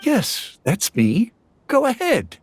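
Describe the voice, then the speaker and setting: A man voicing a kindly, hospitable village head in a game, unhurried and welcoming. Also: very high-pitched, huge pitch swings, very noisy, full character voice. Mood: friendly. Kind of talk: game voice acting.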